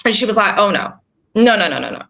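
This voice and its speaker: A woman with a bright, clear voice talks through an interview at a lively clip. She is emphatic and animated, slipping into little acted-out voices for her own thoughts. Middle-aged, casual and direct. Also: medium pitch, fast. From England. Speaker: PR consultant guest.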